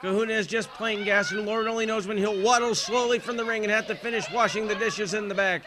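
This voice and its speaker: High-pitched American wrestling announcer, noisy recording. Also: male voice. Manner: deadpan, sardonic, verbose. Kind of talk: comedic sports commentary.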